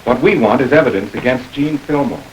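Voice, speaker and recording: A man voices a steady, no-nonsense detective in an old radio crime play. His pitch sits in the middle, his questions come firm and measured. A faint hiss runs under the vintage recording. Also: close to an everyday voice, poor audio. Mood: stern. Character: stern middle-aged male police investigator. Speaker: police lieutenant. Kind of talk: 1940s radio acting.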